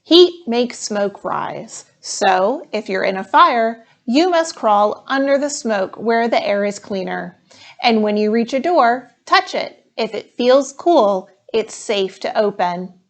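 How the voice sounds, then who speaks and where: A woman voicing a calm, thorough male schoolteacher in a storybook, in a measured, instructive manner. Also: fairly high pitch. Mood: firm, instructive. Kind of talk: storybook read-aloud.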